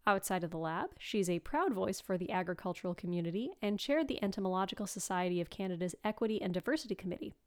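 A woman's voice: mid-range voice, lively intonation, fast talker, hardly any pauses, a little mellow. A woman presenter reading a scripted introduction for an interview guest.

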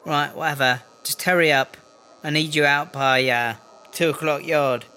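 A woman's voice: low-pitched, steady tone, normal speed, bright, forceful tone. A woman playing a cocky, defensive, foul-mouthed British inmate in a comedy audio drama, with a slangy, blustering, rambling delivery. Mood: indignant.

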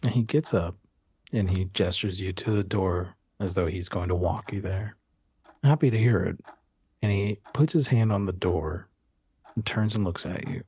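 A man narrates a tabletop roleplaying game as game master. His voice is low, dark and relaxed, with very little room sound around it. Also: normal speed, husky, dry recording.

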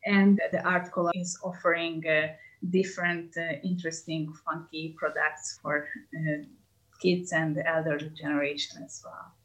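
A woman's voice: low-pitched, flat delivery, normal speed, fairly bright tone, poor audio. A woman from Hungary with a Hungarian accent, a tour guide answering interview questions in a chatty way with many hesitations.

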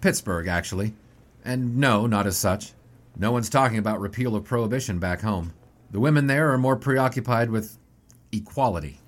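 An actor playing an earnest, wry young 1920s sports reporter in a period radio drama. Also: male voice, on the low side, normal speed, natural voice. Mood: polite. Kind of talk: period radio drama dialogue and first-person narration.

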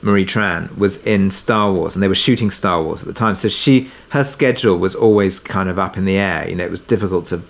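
A man with a fairly low voice speaks as a guest in a relaxed interview. He tells behind-the-scenes stories conversationally, thinking aloud as he goes. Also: fairly quick, creaky, hardly any pauses. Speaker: drama producer interviewed as a guest. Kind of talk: casual interview anecdote.

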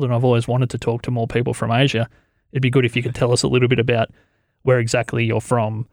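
A man's voice: medium pitch, a little mellow, dry recording. Chatty male podcast host, dry close sound. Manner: chatty, enthusiastic, laughs easily. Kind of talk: casual podcast intro and interview.

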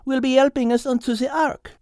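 Woman as thoughtful French she-cat, audiobook character, measured, logical, higher-pitched, dry recording. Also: steady tone, darkish tone, no room sound, close to an everyday voice. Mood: calm, reassuring. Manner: measured, precise, reasoning aloud.

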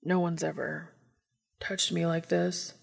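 A woman voicing a tender, teasing male lover in an audiobook, with a breathy, husky, gravelly murmur. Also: fairly low pitch, level tone, takes it slowly, exaggerated voice. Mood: tender, vulnerable. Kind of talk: fan fiction audiobook dialogue.

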